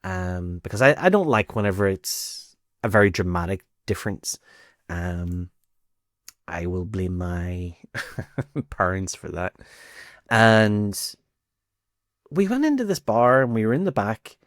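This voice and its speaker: A man's voice: lowish voice, expressive, slow pace, pauses often, no echo, studio quality. A man with a Northern Irish accent telling a personal story casually, in a conversational way, acting out bits of dialogue. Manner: conversational, acts out quoted dialogue.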